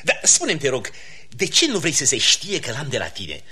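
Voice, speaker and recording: An actor playing a pompous, condescending, miserly count in a classic comedy for radio theatre. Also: male voice, mid-range voice, huge pitch swings, sharp tone, husky, exaggerated voice. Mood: haughty, probing. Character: pompous, miserly count who boasts of his patronage.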